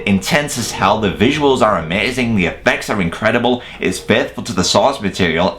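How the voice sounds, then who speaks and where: A man's voice: on the low side, fairly bright tone. A man presenting a scripted countdown review as a video host, in an opinionated, casual, sweary manner. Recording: music playing behind the voice.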